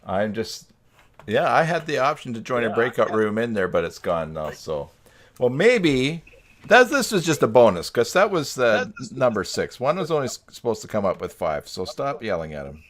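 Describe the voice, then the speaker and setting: A man's voice: mid-range voice, big pitch swings, normal speed. A man hosting a casual live group chat over a video call in a joking manner. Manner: joking, chuckling. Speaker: host of a live stream.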